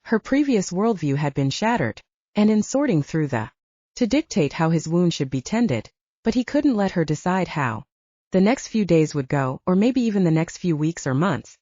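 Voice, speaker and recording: A woman audiobook narrator reading scripted fiction in an expressive storytelling manner. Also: on the low side, moderate pace, dry recording, dull audio.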